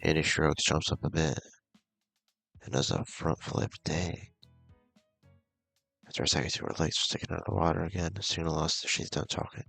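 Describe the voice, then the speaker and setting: Deep, gravelly man reading aloud. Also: fairly bright tone, no echo. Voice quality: gravelly, husky. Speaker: video game streamer. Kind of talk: casual read-aloud playthrough of visual novel.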